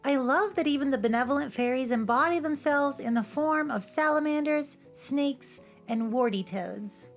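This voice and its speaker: High-pitched woman with playful, cheeky delivery. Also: normal speed, hardly any pauses. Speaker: video essay host. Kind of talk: scripted, humorous commentary over cartoon clips. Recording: music behind the voice. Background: background music.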